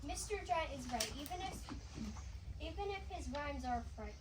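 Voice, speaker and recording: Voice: sits high, lively intonation, normal speed, far from the mic. A child playing a stern fairy tale judge in a school reader's theatre show, recorded from afar in an echoey, noisy room. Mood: authoritative. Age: child, third grader.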